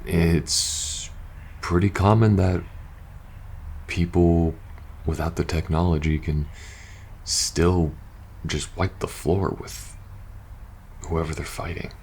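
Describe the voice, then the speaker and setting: Man acting very deep, weary young soldier. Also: fairly even intonation, vocal fry, darkish tone, slight background noise, full character voice. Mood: worried, weary. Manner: hesitant, halting, sighing between phrases. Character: weary, worried young male soldier in post-apocalyptic faction. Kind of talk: intimate ASMR roleplay, naturalistic acting.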